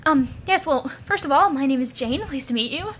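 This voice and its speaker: A woman acts out a courteous, concerned young lady in a comic reading. Her voice is high and bright, her manner proper and apologetic, with slight noise behind her. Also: animated, brisk. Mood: apologetic, polite. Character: polite, concerned young woman named Jane, well-meaning.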